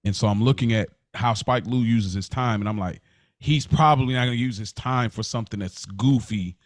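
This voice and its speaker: An American man co-hosting a podcast in casual conversation, inquisitive and reflective, with halting phrasing as he works through a thought. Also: mid-range voice, no room sound, studio quality. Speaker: podcast co-host.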